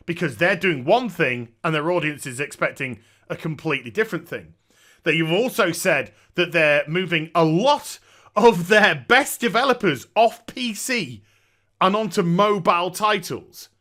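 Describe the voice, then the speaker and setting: A man's voice: high-pitched, pushed and bright. A man giving opinionated, off-the-cuff commentary in a blunt, emphatic way, often repeating words to drive them home. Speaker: gaming news commentator and streamer.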